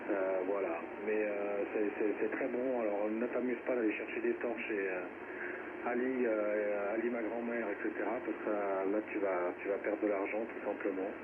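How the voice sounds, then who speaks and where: A man with a fairly low voice talks casually over amateur radio. The transmission is thin and narrow, with clear noise over it. He speaks haltingly, repeating words and tagging his phrases. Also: fairly even intonation. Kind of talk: casual radio contact chat. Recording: narrow, very noisy shortwave radio reception.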